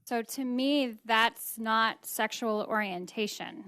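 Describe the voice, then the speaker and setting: A woman testifies as a state legislator, answering with slow, earnest care. Her voice is bright, fairly high and clear. It sounds dry. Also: no echo.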